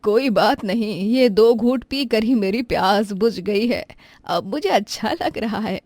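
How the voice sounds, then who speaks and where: A woman's voice: medium pitch, unhurried, a little mellow, no echo, natural voice. A woman voicing a kindly, meek older mother-in-law who pleads affectionately in a family moral story. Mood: gentle, affectionate. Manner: pleading, affectionate, self-effacing.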